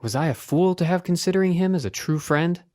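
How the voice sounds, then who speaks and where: A woman's voice: fairly low pitch, fairly quick, warm tone, voice pushed down, close to an everyday voice. A woman voicing an anxious, earnest young man in a children's story, with dramatic, plaintive delivery. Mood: dejected.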